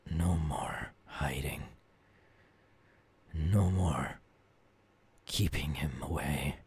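A man playing a tormented, menacing young ghoul king in an ASMR audio roleplay, in a breathy, often whispering voice with brooding phrasing. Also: rumbling low, drawn-out words, darkish tone, exaggerated voice. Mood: tense, weary. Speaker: voice actor.

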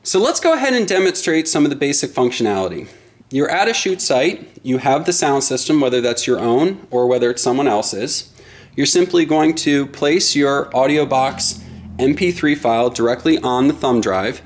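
A middle-aged man giving an instructional product demonstration in a deliberate, explanatory way. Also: medium pitch, lively intonation. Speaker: product presenter.

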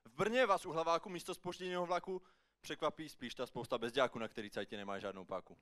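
Clear-voiced male slam poet. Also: on the high side, fairly bright tone. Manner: theatrical, rhythmic storytelling delivery. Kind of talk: rhymed slam poetry performance. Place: live slam poetry final on stage.

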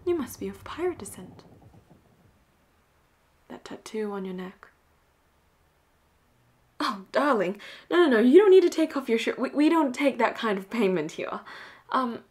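A woman voicing a flirtatious, teasing, commanding pirate captain in an intimate audio roleplay, often dropping into breathy whispers. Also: on the high side, sing-song, measured pace, pauses often, darkish tone, heavily acted. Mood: flustered, teasing.

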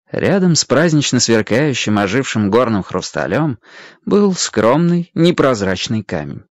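A man's voice: medium pitch, darkish tone, no echo. A man narrating a children's adventure story expressively from a script, as a storyteller.

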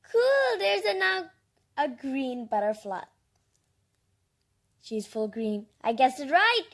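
Female, very high voice, unhurried pace, childlike and enthusiastic, reads picture books aloud with chatty asides. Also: sing-song. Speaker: storybook reader and host.

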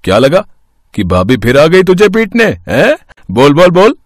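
A man's voice: a little high, huge pitch swings, unhurried, no echo, studio quality, heavily acted. A man voicing a teasing, mischievous village friend in a cartoon, brash and mocking.

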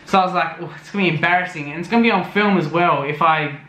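Young guy, vlog voice-over, high and bright, rambling, roomy echo. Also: fast. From Australia. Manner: rambling, lots of fillers. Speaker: vlogger. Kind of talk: casual voice-over recap.

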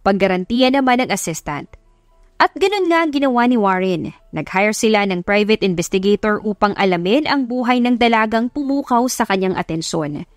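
A woman's voice: mid-range voice, lively intonation, normal speed, dry recording, clean studio sound, soft background music. A woman giving scripted narration of an audiobook love story.

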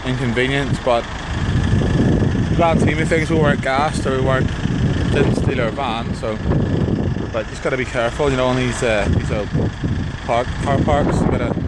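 A man in his thirties with a Northern Irish accent, chatting casually into a phone held at arm's length as he travels, rambling with restarts and jokes. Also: medium pitch. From Northern Ireland. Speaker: travel vlogger. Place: service stations, shops and outdoors in summer. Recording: loud background noise.

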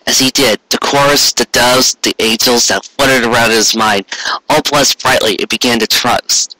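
A man's voice: medium pitch, fairly even intonation, moderate pace, pushed and bright, no room sound, low-quality recording. A man reading a story aloud as scripted narration, now and then stumbling and correcting himself. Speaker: amateur fan fiction reader.

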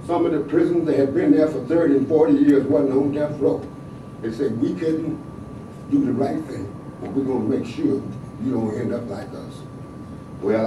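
A man's voice: on the low side, far from the mic, noisy background. Older man making an impassioned speech in a hall. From American South. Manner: rising to loud emphatic exhortation. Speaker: panel speaker. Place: large hall in a state capitol. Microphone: podium microphone. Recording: echoey hall, heard from afar.

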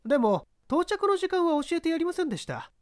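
A man voicing a brazen young woman, a haughty and sharp-tongued scout, in a dramatised audiobook. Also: very high-pitched, sing-song, brisk, voice pushed up. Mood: brazen, scornful.

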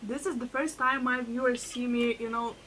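A woman's voice: fairly high pitch, fairly even intonation, normal speed, fairly bright tone, rough recording. A young woman from Lithuania with a Lithuanian accent, chatting on a video call and giggling often. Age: young adult. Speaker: host. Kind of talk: casual chat. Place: room full of plants. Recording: video call with audible background hiss.

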